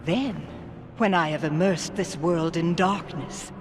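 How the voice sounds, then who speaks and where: An actress playing a cold, menacing evil sorceress in a game cutscene, speaking in a stately, pompous, theatrical way. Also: female voice, on the low side, slow. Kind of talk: animated game cutscene acting. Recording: loud music behind the voice.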